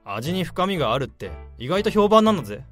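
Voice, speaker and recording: A friendly, easygoing middle-aged manager in a radio drama. He sounds casual and reassuring, with a slightly raised voice and a very lively pitch. Faint music plays underneath. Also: quick pace. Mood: breezy. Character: easygoing male manager, friendly to his old peer. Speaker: section chief, colleague of the protagonist.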